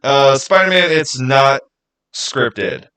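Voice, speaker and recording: Male streamer, mid-range voice, casual chat, halting delivery, thinking aloud, repeats phrases. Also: medium pitch, animated, pushed and bright, clean tone. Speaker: livestream host. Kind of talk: casual livestream chat, answering viewer questions.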